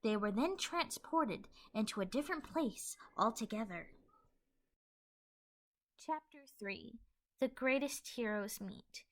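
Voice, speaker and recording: A woman's voice: fairly high pitch, expressive, slow pace, long pauses. A woman giving scripted storybook narration as the narrator of a fan fiction audio play.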